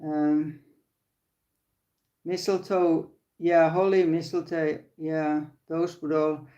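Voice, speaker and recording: A woman's voice: low voice, level tone, slow, warm tone, reverberant, highs cut off. An older woman with a Finnish accent, hosting a casual live crochet stream from her phone, often restarting sentences and laughing. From Finland. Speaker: crochet channel host.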